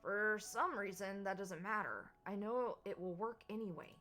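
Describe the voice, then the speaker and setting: A woman reading the lines of a calm, cryptic visual novel character aloud, sounding vague and oddly certain. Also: mid-range voice, normal speed, faint music behind, natural voice. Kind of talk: reading game dialogue aloud.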